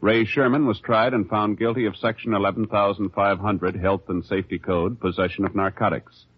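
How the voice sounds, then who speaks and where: A man announcing in a formal, measured, official 1950s radio style from a script. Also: lowish voice, flat delivery, breathy edge, no echo. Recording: muffled 1950s radio broadcast, faint music.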